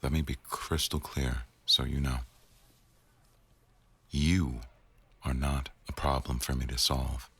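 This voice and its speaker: A man acting an attentive, tender boss in an intimate boyfriend ASMR roleplay, murmuring slowly to an employee in a gravelly voice. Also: flat delivery, fairly bright tone, heavily acted. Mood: reassuring, adoring. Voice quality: very deep, gravelly.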